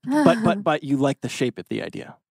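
A man's voice: a little high, huge pitch swings, fairly quick, gravelly, no echo, studio quality, exaggerated voice. A man reading a wordy, lovesick teenage boy with big schemes, theatrical and rambling, at a TV drama table read. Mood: excited scheming.

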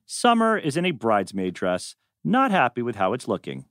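A man's voice: medium pitch, wildly swooping pitch, moderate pace, a bit bright, no echo, clean studio sound. A man narrating stage directions from a script at a table read.

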